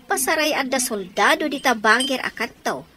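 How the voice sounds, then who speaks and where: A middle-aged mother in an Ilocano radio drama. Her voice sits fairly high, and she prods and scolds with an Ilocano accent. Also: animated, normal speed, sharp tone, slight background noise. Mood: curious.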